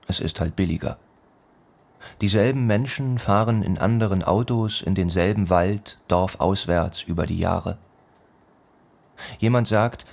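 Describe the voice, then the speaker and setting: Low-voiced brooding village narrator. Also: male voice, darkish tone. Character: brooding village man narrating his rural home. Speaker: radio play narrator. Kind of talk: literary radio play narration and recitation. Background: music.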